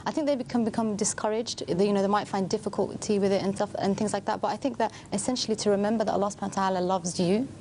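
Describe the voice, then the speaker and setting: Mellow-voiced woman on a panel, talks super fast, reassuring. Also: mid-range voice, fairly even intonation, a little hiss or hum. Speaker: panel guest. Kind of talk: casual discussion.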